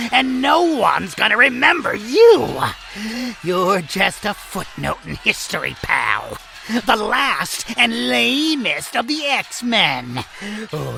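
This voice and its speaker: Shrill, slow-gloating villain mogul. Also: female voice, gravelly, sharp tone, heavily acted. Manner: mocking, theatrical, drawn-out delivery. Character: gloating, tyrannical villain TV mogul from another dimension. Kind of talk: audio drama acting.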